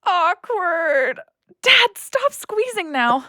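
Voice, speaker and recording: High-voiced, blunt young daughter. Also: female voice, sing-song, extremely slow, pushed and bright, dry recording, studio quality, exaggerated voice. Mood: tentative, affectionate. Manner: blunt, sardonic. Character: exasperated, world-weary young adult daughter back home. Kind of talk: naturalistic audio play acting.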